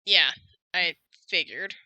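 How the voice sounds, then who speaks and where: A woman's voice: on the low side, takes it slowly, bright, forceful tone, no room sound, rough recording. A woman streamer chatting casually with friends while voice-acting a courtroom game.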